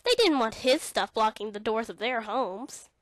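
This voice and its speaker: A woman narrating a read-along children's storybook in an expressive, dramatic way. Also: on the high side, moderate pace, no room sound, studio quality.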